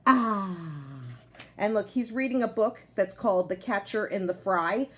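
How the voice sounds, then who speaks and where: A woman reading a picture book aloud to children in an expressive storytelling style, like a teacher, with friendly asides to young listeners. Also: mid-range voice, a little slow.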